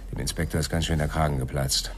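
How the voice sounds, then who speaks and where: An actor playing a calm, matter-of-fact police sergeant in a German radio crime drama. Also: male voice, rumbling low, fast talker, noisy background. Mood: understanding.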